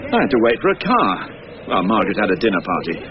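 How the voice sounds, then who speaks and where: An actor playing a wry, unhurried senior police inspector with a dry manner in a radio drama. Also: male voice, lowish voice, very animated, a crowd in the background. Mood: dry, teasing. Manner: dry, unruffled. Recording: muffled radio recording, busy outdoor effects.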